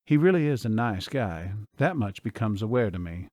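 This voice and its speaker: A man reading a first-person romance audiobook in a measured, steady way. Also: lowish voice, no room sound, clean studio sound. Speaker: audiobook narrator.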